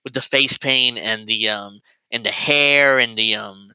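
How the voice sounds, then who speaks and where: A man in his thirties hosts a casual commentary show with a very bright, tense voice that sounds smooth and crisp. He rambles and digresses, restarting his sentences often. The sound is dry, close and free of room echo. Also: mid-range voice, a little slow. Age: 30s. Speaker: host.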